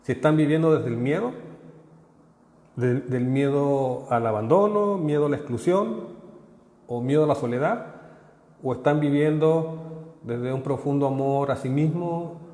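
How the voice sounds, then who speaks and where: A Chilean man giving a live workshop talk as a consultant. He speaks haltingly, repeats words and tags his phrases with checks to the audience. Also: mid-range voice, animated, dark tone. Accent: Chilean, partly Mexican. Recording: echoey room with long reverb.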